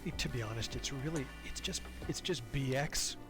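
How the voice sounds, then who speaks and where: A man's voice: mid-range voice, moderate pace, over music. An older man chatting casually as a podcast guest, talkative and self-deprecating. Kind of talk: casual interview chat.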